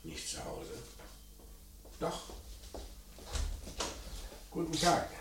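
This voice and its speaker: An actor playing a dry, quietly authoritative police inspector in a radio crime drama, asking terse questions. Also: male voice, on the high side, wildly swooping pitch, drawn-out words, heavily acted. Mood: quietly probing. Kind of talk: German radio play acting. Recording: roomy sound with faint noise.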